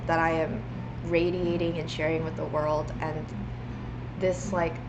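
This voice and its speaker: A woman's voice: low-pitched, level tone, a little slow, background noise. A young woman livestreaming from home, talking earnestly and rambling with many restarts. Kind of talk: reflective livestream monologue. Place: home room.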